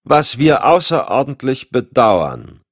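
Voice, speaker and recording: A man's voice: lowish voice, animated, moderate pace, no echo, clean studio sound, pitched above the performer's own voice. A man voicing an indignant security official in an audiobook, blustering and sneering. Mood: haughty. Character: indignant, scornful male homeland security official. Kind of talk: audiobook dialogue.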